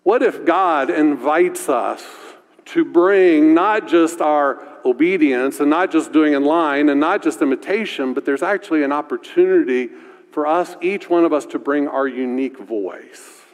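A male pastor with a deep voice preaching a sermon in a conversational way, often asking "right?". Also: unhurried, in a large hall.

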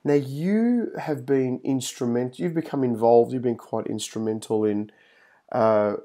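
A man hosts an interview, asking long, meandering questions padded with ums and uhs. His voice is mellow and dark, at a middling pitch for a man. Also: a little slow. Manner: rambling questions with many fillers. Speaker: host. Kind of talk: interview host.

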